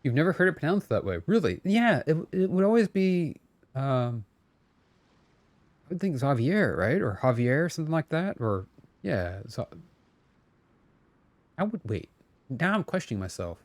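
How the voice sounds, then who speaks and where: A man's voice: fairly high pitch, sing-song, normal speed, pauses often, no room sound. A man live-streaming a game with casual, rambling commentary, often correcting himself as he goes. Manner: rambling, self-correcting, chatty. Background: game music.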